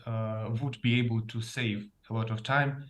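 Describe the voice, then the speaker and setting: A man's voice: fairly low pitch, steady tone, normal speed. A man from Russia with a Russian accent, a native Russian speaker, talking hesitantly about himself and searching for words. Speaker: club participant, learner. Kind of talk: casual talk about himself.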